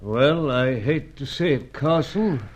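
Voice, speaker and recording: A man playing a grave, avuncular older spy chief in an old-time radio drama, measured and slightly hesitant. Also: mid-range voice, animated. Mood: grave, resigned. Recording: dull, lo-fi radio serial sound.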